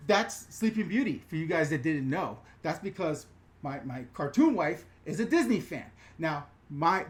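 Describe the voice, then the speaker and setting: A middle-aged man with a New York accent hosts a casual live question-and-answer stream. He talks in an animated, rambling way, swearing freely and wandering off on tangents before circling back to the chat. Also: fairly high pitch. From New York/New Jersey. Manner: animated, profane, rambling with digressions and self-corrections.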